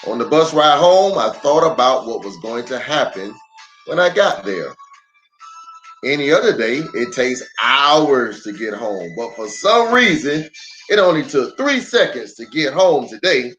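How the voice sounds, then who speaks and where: A man reading a children's story aloud in an expressive, dramatic way with deliberate pauses. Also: mid-range voice. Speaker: storyteller, pastor. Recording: roomy echo, faint music.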